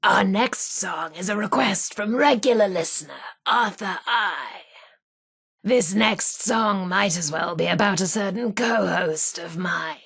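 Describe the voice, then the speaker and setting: A vintage radio hostess from a video game, genteel and theatrical. Her voice is breathy and husky with a creaky edge, at a medium pitch. She presents at an unhurried pace, with a flirty, teasing lilt. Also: fairly bright tone, exaggerated voice. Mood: genteel, playful. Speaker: radio host. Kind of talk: old-time radio presenting with playful banter.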